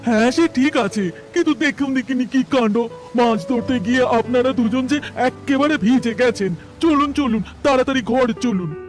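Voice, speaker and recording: Casting: actor as a poor servant man, very humble and apologetic, high cartoon voice over music. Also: normal speed. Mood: eager. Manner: deferential, apologetic. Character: humble, poor, hospitable male village servant. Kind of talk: Bengali cartoon voice acting.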